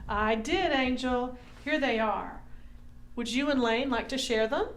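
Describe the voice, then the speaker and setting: A woman's voice: a little high, a little slow. A woman hosting a children's puppet show, talking in a teacherly, scripted way to young children. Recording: echoey room.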